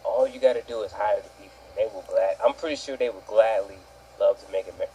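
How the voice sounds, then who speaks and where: Blunt, mellow-voiced man ranting over background noise. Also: on the low side, quick pace, rough recording. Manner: blunt, crude, repetitive for emphasis. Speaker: co-host on an online opinion panel. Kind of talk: casual opinionated rant.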